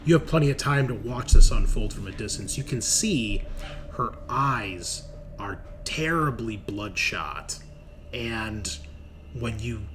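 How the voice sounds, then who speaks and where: A man's voice: lowish voice, lively intonation, slow pace, over music. A man narrating a tabletop roleplaying game as game master, in a casual, sweary, explanatory way.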